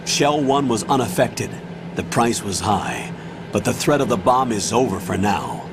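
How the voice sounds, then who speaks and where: A stern military colonel in a video game, giving clipped, authoritative orders. Steady noise hums beneath his lines. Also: mid-range voice, unhurried. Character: commanding older male colonel on the radio. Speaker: mission commander. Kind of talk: video game cutscene voice acting.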